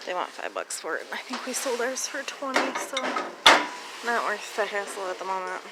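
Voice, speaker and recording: A woman's voice: mid-range voice, big pitch swings, moderate pace, heavy background noise. A woman chatting casually and informally as a reseller vlogger, with mild slang.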